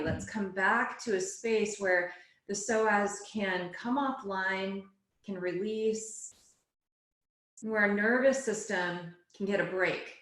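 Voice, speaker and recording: A woman yoga teacher guiding a relaxation and meditation class in a soothing, unhurried way, phrase by phrase. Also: medium pitch, fairly bright tone. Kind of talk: guided relaxation and meditation instruction. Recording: echoey, roomy sound.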